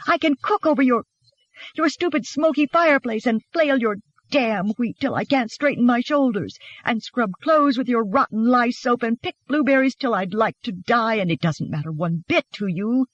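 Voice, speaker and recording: A narrator voicing a fiery, sharp-tongued young wife in audiobook dialogue, wounded and defiant, spitting out taunts. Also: medium pitch, husky, no room sound, muffled recording, exaggerated voice. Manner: spitting, taunting, shouting back.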